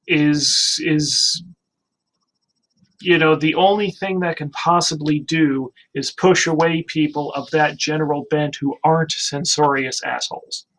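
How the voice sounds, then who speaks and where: A man's voice: fairly high pitch, a bit bright, rough recording. A man hosting a casual livestream, blunt, joking and given to swearing. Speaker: livestream host.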